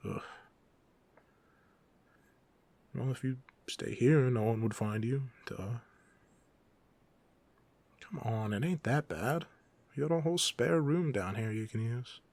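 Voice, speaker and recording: A man playing a cocky, playful, secretly soft-hearted young supervillain in a casual, intimate roleplay audio drama, teasing the listener as he talks. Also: medium pitch, unhurried, long pauses, no room sound. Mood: smug, relaxed. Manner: teasing, casual, smug, conversational.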